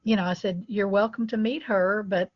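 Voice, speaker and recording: A woman's voice: medium pitch, fairly even intonation, moderate pace, dry recording, highs cut off. A middle-aged woman, a dog breeder, telling anecdotes casually in an interview. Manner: chatty, anecdotal, laughs between stories.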